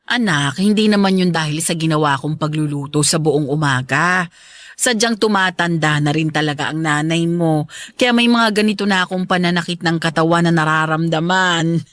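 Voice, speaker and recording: A woman's voice: low-pitched, moderate pace, fairly bright tone, no room sound. Motherly filipino mom for radio drama, aging, protective, kinda stubborn, dry studio sound. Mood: firm, wary. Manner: motherly, chatty, firm.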